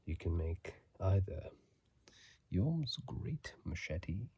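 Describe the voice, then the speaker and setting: A man whispering softly and closely into a phone mic in an unhurried ASMR style, reading and chatting casually about a video game. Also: low-pitched, big pitch swings, creaky, slight background noise.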